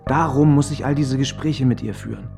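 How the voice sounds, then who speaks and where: An actor playing a brooding, resolute man in a radio drama, speaking in a measured, deliberate, low-key way. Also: gravelly, natural voice. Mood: resolute, grave. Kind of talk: radio drama inner monologue. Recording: music under the voice. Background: music.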